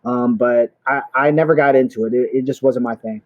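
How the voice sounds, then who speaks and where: Middle aged guy co-hosting, casual n slangy, mid pitch, dry close sound. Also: steady tone, fairly quick, no room sound, phone-quality sound. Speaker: co-host. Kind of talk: casual chat.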